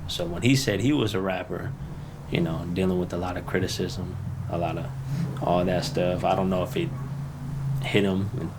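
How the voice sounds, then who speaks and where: Deep-voiced man, thoughtful interview. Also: fairly even intonation, background noise. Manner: thoughtful, halting, repeats words. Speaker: friend of the rapper.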